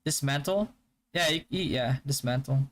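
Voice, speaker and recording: A young man from the Philippines whose first language is Hiligaynon, chatting casually on a live stream and answering viewer questions in a relaxed, stop-start way. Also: medium pitch, normal speed. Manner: relaxed, stop-start, with frequent fillers and restarts. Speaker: game streamer.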